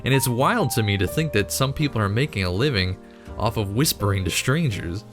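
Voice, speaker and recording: A man's voice: on the low side, animated, fairly quick, over music. A man hosting a video channel, chatting casually in a rambling, jokingly incredulous way with frequent self-interruptions. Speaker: YouTube cartoon channel host.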